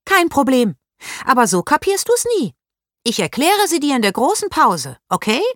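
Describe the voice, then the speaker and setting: A woman reading aloud as a bossy know-it-all schoolgirl who talks in a shrill, indignant way. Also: very animated, normal speed, fairly bright tone, no echo, clean studio sound. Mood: helpful.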